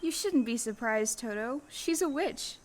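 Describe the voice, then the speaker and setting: Earnest little farm girl, played by a woman, very high voice, polite, wide-eyed, stage audio play. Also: animated, a little slow, noisy background. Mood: matter-of-fact.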